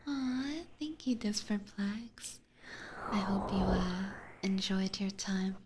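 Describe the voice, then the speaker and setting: A woman hosting an ASMR livestream, chatting with viewers in a soft, breathy whisper close to a binaural microphone. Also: mid-range voice, steady tone, slow, heavy background noise. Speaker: livestream host.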